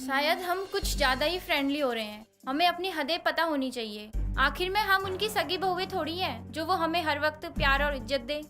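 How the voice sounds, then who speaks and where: A woman voicing a brave, caring young tenant daughter-in-law in a storybook tale, over soft background music. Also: high voice, expressive, normal speed. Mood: earnest. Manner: eager, reassuring.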